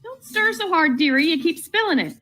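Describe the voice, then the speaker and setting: Woman as bright, high-voiced fussing radio wife. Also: lively intonation, brisk, heavily acted. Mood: exasperated, scolding. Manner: fussing, gently scolding. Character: Molly, fussing, exasperated, affectionate wife. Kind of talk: old-time radio comedy acting.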